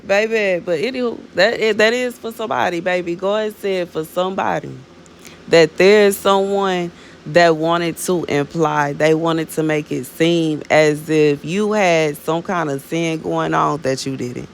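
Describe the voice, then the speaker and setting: A woman's voice: lowish voice, a little slow, gravelly, slight background noise. A woman in her thirties giving a casual, rambling spiritual talk to her viewers, often filling with "you know what I'm saying" and calling them "baby". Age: 30s. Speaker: minister sharing prophetic words.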